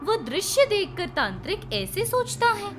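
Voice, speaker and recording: A woman tells a children's story as its narrator. Her voice is very high and moves animatedly in pitch. Music plays clearly behind the scripted narration. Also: very animated, a little slow.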